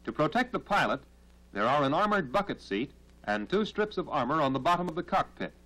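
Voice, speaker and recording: An American man narrates a military training film from the 1940s. His voice sits fairly high and moves through animated rises and falls. He delivers formal, measured instruction, heard on a vintage film soundtrack. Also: rough recording.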